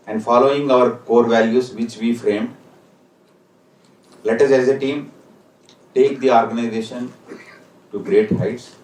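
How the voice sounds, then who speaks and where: A man's voice: mid-range voice, a little mellow. A man with an Indian accent giving a formal, exhortative ceremonial speech as a senior company official. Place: indoor function hall with PA. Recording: echoey hall, distant microphone.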